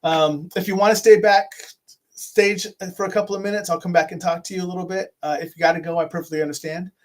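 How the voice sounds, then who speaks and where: A man's voice: sits high, steady tone, fast. A man hosting an online talk show, closing out an interview with friendly thanks and frequent repeated words. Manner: warm-hearted, repeats words, says "brother". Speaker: show host. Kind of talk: casual interview chat.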